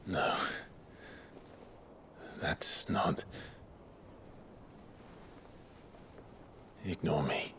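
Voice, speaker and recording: An actor playing a brooding, determined, self-sacrificing warrior hero in a video game, speaking with grave, earnest restraint. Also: male voice, a bass voice, extremely slow, fairly bright tone, slight background noise, exaggerated voice. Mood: tender, subdued. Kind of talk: dramatic video game cutscene acting.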